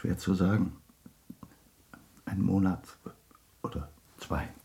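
An actor playing a grave, sympathetic family doctor in a German radio drama, speaking gently and haltingly. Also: male voice, low voice, steady tone, gravelly, exaggerated voice. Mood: grave, reluctant. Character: grave, sympathetic male family doctor breaking bad news.

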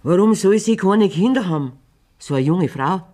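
An actress with a Bavarian accent playing a wronged, defiant middle-aged farmer's wife with sharp, biting retorts in a radio play. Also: female voice, on the low side, lively intonation, normal speed. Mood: bitter.

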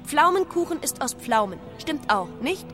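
High-voiced, naive female AI. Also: very animated, moderate pace, over music. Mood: earnest, puzzled. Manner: childlike, speaks of herself in third person. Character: naive, literal-minded young female artificial intelligence, aloof and childlike. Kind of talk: German radio drama acting.

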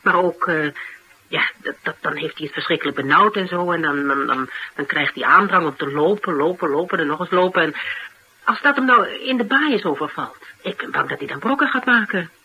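An actress playing a flustered, blunt, protective interviewer in a radio drama, with a Netherlands Dutch accent and a halting, exasperated delivery. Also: female voice, lowish voice, pushed and bright, husky, heavily acted, low-quality recording. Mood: anxious, pleading. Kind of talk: naturalistic radio drama dialogue. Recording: faint background noise.

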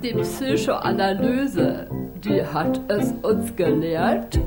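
An actress playing a mock-pompous reciter of comic verse in a 1950s radio comedy, declaiming theatrically in rhyme. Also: female voice, mid-range voice, animated, slow pace. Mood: mock-solemn. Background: guitar music.

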